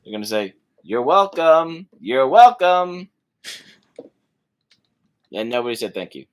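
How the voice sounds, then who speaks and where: Male panelist, medium pitch, informal, conversational. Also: sing-song, takes it slowly. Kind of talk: casual chat.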